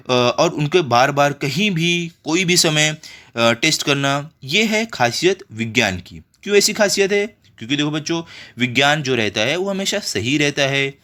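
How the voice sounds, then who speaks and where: A male schoolteacher with a bright, forceful voice explains an exercise to children. His pitch sits a little high and swings in a lively way. He often puts short check-in questions to his pupils as he goes. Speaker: teacher.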